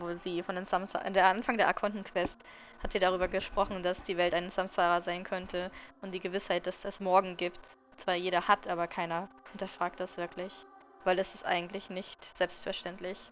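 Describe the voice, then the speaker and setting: A woman's voice: on the low side, flat delivery, a little fast, slight background noise, poor audio. A woman giving casual let's-play commentary as a game streamer, reflective and thinking aloud. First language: German. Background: game music.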